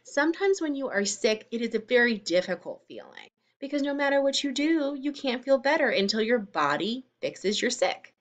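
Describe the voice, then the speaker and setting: A woman's voice: a little high, big pitch swings, moderate pace, few pauses. A woman reading a children's story aloud like a teacher, speaking expressively and explaining things simply to young listeners.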